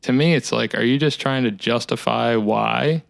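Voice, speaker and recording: A man in his thirties talking in a casual, rambling solo monologue, with a dry, monotone delivery. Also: mid-range voice, moderate pace, vocal fry, no room sound. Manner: rambling, reflective, many fillers. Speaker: musician hosting his own talk series. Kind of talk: casual solo talk to camera.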